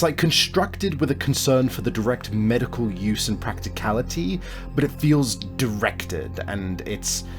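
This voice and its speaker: A low-pitched man talks as the host and game master of an actual-play podcast. He is informal and hesitant, often feeling for the right phrase, with music playing behind him. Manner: hesitant, searching for words, informal. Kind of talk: casual podcast announcements and game narration.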